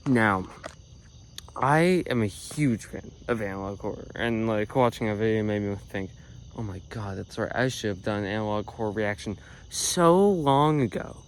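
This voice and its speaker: A man's voice: on the low side, normal speed, slight background noise. A man hosting a reaction video in casual, rambling commentary full of filler "uh"s.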